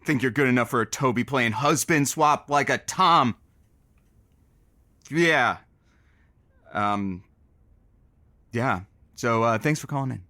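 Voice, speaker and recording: An actor playing an insecure, awkward radio host in an audio comedy, hesitant and easily exasperated. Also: male voice, mid-range voice, takes it slowly, pauses often, pushed and bright, no echo, clean studio sound. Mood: flustered. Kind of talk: audio comedy drama acting.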